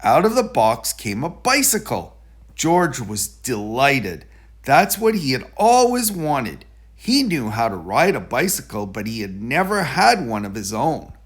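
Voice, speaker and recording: A man reading a children's story aloud in an expressive, deliberate storytelling delivery. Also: medium pitch, husky. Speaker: storybook reader.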